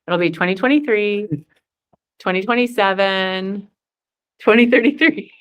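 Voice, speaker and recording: A woman's voice: mid-range voice, unhurried, a bit bright, clear, resonant tone, dull audio. A woman in her forties leading a goal-setting workshop, chatty and upbeat, laughing often. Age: 40s. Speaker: workshop facilitator.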